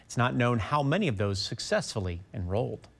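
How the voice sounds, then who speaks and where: A man's voice: medium pitch, big pitch swings, brisk, creaky. A man delivering scripted news narration.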